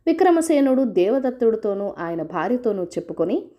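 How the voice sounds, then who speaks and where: Storyteller, female. Highish voice, very animated melody, scripted folk-tale narration. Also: brisk.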